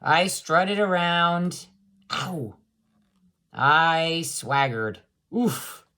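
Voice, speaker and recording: Storybook bean, female reader: awkward, self-deprecating, deadpan, low-pitched, dragging out words. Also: drawn-out words, full character voice. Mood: sheepish, deadpan. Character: awkward, self-deprecating uncool bean narrating his woes.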